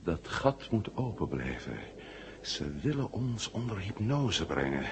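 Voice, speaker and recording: A man acting a brooding, suspicious captive who mutters slowly in a 1970 Dutch radio drama. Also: lowish voice, very animated. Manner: slow, muttering. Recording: faintly noisy 1970 radio tape.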